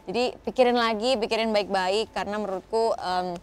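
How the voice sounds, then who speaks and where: A woman's voice: mid-range voice, steady tone, brisk, faint music behind. Woman hosting casual advice talk. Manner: informal, mixes English words into speech. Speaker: host reading audience questions.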